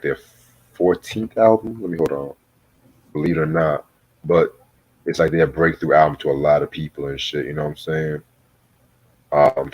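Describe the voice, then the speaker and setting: This man has a very low, deep voice. He talks like the host of a loose music review among friends, informal and slangy. Swearing comes freely as part of how he speaks. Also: low-quality recording. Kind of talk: casual profane chat.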